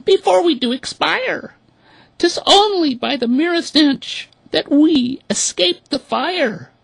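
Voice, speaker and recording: A man voicing a flock of pleading, indignant hens in a shrill falsetto. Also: expressive, slow pace, vocal fry, fairly bright tone, no room sound, heavily acted, rough recording. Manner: shrill high falsetto, singsong.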